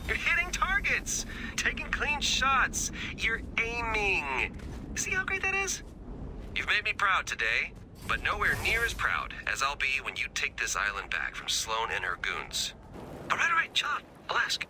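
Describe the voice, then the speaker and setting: An actor playing a goofy, earnest resistance squad leader in a video game, coaxing and wry, with emphatic delivery. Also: male voice, medium pitch, huge pitch swings, normal speed, pushed and bright, slight background noise, exaggerated voice, rough recording. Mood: sheepish, coaxing. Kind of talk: video game mission dialogue.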